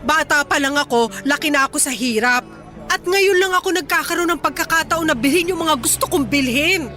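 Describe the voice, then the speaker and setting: A woman's voice: sits high, brisk, bright, forceful tone. A woman playing a hardworking, touchy middle-aged Filipina mother in a Tagalog radio drama, with an emphatic, reproachful and melodramatic delivery and a Filipino accent. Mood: hurt, scolding. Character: hardworking, touchy Filipina mother running an eatery, easily hurt. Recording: music under the voice.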